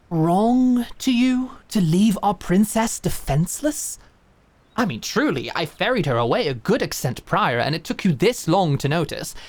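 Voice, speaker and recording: A woman voicing an earnest, devoted female knight and sword instructor, formal and measured. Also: fairly low pitch, a little mellow. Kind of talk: fantasy audio drama acting.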